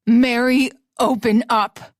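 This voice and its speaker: A woman voicing a drunk, enraged man who shouts slow threats through a door. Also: on the low side, no echo, clean studio sound. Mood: raging.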